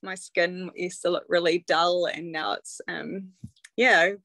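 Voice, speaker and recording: A woman's voice: on the low side, steady tone, takes it slowly, a bit bright, no echo. A woman, probably in her thirties, giving a casual, chatty testimonial full of ums and likes. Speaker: product testimonial speaker.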